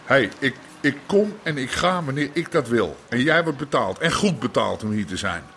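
An actor playing a bullying, foul-mouthed red-light crime boss in a radio drama, gruff and sarcastic. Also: male voice, medium pitch, sing-song, normal speed, a bit bright, slight background noise. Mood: sarcastic, irritated.

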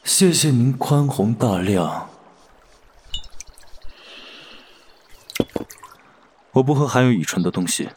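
A man playing a cool, fastidious alien parasite posing as a human in an audio drama, detached and disdainful. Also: mid-range voice, lively intonation, darkish tone, slight background noise, close to an everyday voice. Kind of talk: audio drama dialogue and inner monologue.

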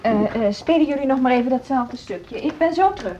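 A woman's voice: high-pitched, lively intonation, fairly quick, background noise. An actress playing an earnest, tense woman who runs a music school, in naturalistic Dutch radio drama with a Netherlands accent. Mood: tense, suspicious. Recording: dull, muffled radio play recording.

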